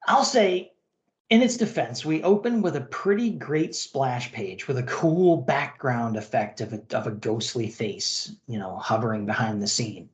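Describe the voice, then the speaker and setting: A man's voice: mid-range voice, unhurried, echoey room. A man co-hosting a comic-book podcast, narrating and commenting. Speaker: podcast co-host.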